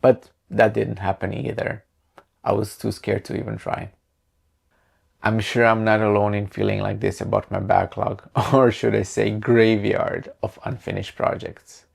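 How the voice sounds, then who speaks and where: A man's voice: fairly low pitch, flat delivery, a little slow, creaky, husky. A man in his thirties from Croatia, narrating his creative vlog in a wry, self-mocking way. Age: 30s. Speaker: creative channel host.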